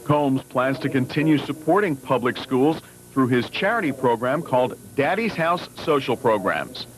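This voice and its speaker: A man's voice: medium pitch, animated, normal speed, a crowd in the background. A man reading a scripted television news report as a reporter's voice-over. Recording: slightly noisy old TV news tape.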